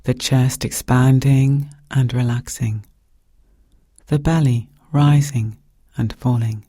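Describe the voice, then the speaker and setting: A man with a soft, breathy voice guiding a meditation in calm, gentle, measured phrases with pauses. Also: medium pitch, no room sound. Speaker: meditation guide. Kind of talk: guided meditation narration.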